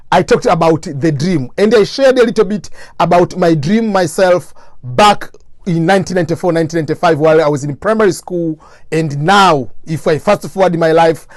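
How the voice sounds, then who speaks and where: Higher-voiced Tanzanian man, motivational speaker. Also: moderate pace, no room sound, clean studio sound. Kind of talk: motivational talk to camera.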